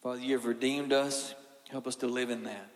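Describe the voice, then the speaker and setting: Echoey hall recording, male voice, flat intonation, solemn spoken prayer, pleading repetition. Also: mid-range voice, a little fast. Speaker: congregant leading closing prayer.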